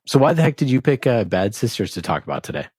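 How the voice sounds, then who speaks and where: A man's voice: medium pitch, very animated, fast, a little mellow, husky, dry recording, clean studio sound. A man hosting a podcast interview in a playful, joking way. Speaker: podcast host. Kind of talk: casual interview chat.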